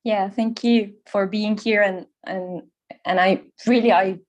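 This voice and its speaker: A young woman in an online discussion group, speaking haltingly in a learner's English, full of fillers and restarts. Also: mid-range voice, fairly even intonation. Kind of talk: online casual chat.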